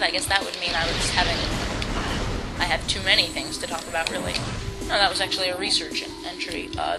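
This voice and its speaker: A sixteen-year-old boy with a high-pitched voice, giving live gameplay commentary in a hesitant, rambling way with many ums and restarts. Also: creaky, poor audio. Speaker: let's play commentator. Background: video game music.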